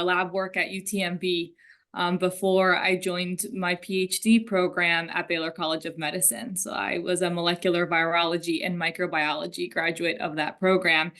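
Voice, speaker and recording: A woman's voice: lowish voice, fairly bright tone. Conversational woman from Colombia. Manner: conversational, storytelling with asides. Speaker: career panelist. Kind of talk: panel talk.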